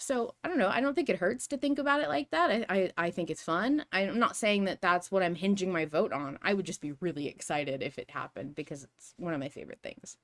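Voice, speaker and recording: A woman hosting a casual fan discussion podcast, talking in an animated, rambling, emphatic way. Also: lowish voice. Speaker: podcast host.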